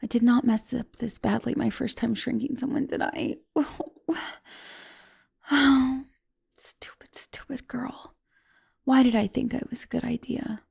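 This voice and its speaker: Woman acting a creaky-voiced, flustered young giantess. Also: on the high side, steady tone, measured pace, full character voice. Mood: self-reproaching. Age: young adult. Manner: hesitant, stammering, slipping into soft whispers. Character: flustered, guilty, caring young giantess college student. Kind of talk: ASMR roleplay, intimate one-sided dialogue.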